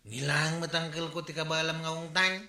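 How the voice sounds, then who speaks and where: Guy playing a loud teasing neighbor in a radio comedy, kinda high voice. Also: fairly even intonation, moderate pace, creaky, exaggerated voice. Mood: mocking. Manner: teasing, mocking, laughs at complaints. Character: brash noisy male neighbor Kwarag. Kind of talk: radio drama acting. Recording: echoey room.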